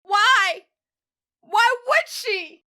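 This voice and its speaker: A woman's voice: very high voice, expressive, drawn-out words, bright, forceful tone, full character voice. A woman playing a jealous, anguished young female Jedi in an audio drama, crying out with fierce emotion. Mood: grief-stricken. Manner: crying out, impassioned. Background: music.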